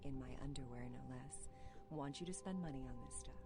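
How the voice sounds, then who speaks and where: Sultry radio hostess, low voice, flirty, dryly sarcastic, game radio show, music behind. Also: female voice, fairly quick. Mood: wry, teasing. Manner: teasing, playful, dryly sarcastic.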